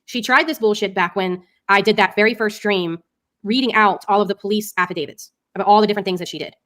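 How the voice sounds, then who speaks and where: Fast-talking woman giving commentary. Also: mid-range voice, fairly bright tone. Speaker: commentary streamer. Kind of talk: opinionated informal commentary.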